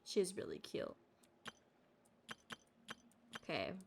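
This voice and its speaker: A woman's voice: low voice, wildly swooping pitch, moderate pace, gravelly, noisy background. A woman streamer chatting casually with her viewers while she plays a game.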